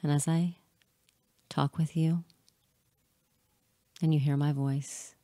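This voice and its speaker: Slow, low-voiced woman guiding hypnosis. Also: little pitch movement, mellow, dry recording, studio quality. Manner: soothing, measured, with frequent pauses between short phrases. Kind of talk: hypnotic guided meditation.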